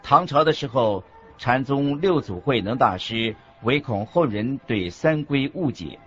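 A man's voice: medium pitch, lively intonation, a little slow. A man reading a scripted religious teaching as the narrator of a radio lecture. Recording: dull lo-fi audio with background music.

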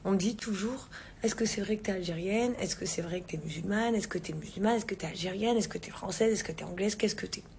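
A woman's voice: medium pitch, fast, vocal fry, a little mellow, a little hiss or hum. A woman in her thirties, born in England, chatting casually to her followers into a phone camera in a large living room, with lots of fillers, restarts and slang. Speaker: vlogger. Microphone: phone camera at arm's length.

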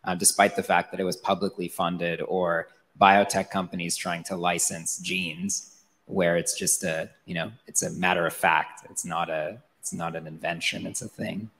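A man's voice: low voice, level tone, normal speed, fairly bright tone. A man in the audience asking a long, hesitant question with many fillers. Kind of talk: question from the floor. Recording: long hall reverb.

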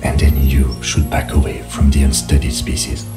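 A man playing a calm, restrained police lieutenant in a video game, quiet and matter-of-fact. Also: extremely low pitch, moderate pace, over loud music. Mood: cautious, hushed. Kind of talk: video game dialogue acting.

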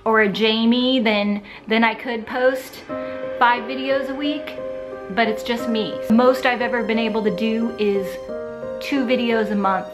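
A middle-aged woman with a fairly high voice chats to the camera at home. She is candid and self-deprecating, in a casual craft vlog style, with music clearly playing behind her. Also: fairly even intonation, a little slow, rough recording. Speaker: DIY craft vlogger. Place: indoors, furnished room at home.

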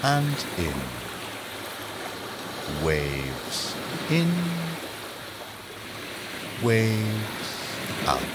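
A man narrating a spoken-word poem, placing single words and short phrases in a measured, spaced-out way over a soundscape. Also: on the low side, big pitch swings, heavy background noise. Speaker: narrator, poetry reader. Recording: loud ocean waves behind the voice. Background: sea surf and lapping water soundscape.

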